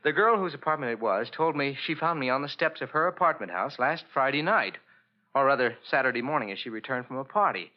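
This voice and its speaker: A man's voice: mid-range voice, lively intonation, a little fast, lightly acted. An actor playing an earnest, anxious young man with amnesia in 1940s radio style, speaking hesitantly as he explains himself. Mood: earnest, uneasy. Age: young adult. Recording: muffled old radio recording.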